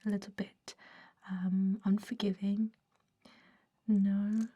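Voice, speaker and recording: A woman's voice: medium pitch, level tone, takes it slowly, mellow, husky, dull audio. A woman playing a centuries-old, fussy vampire recruiter in an ASMR roleplay, whispering with a hesitant, politely dismissive manner. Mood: politely unimpressed.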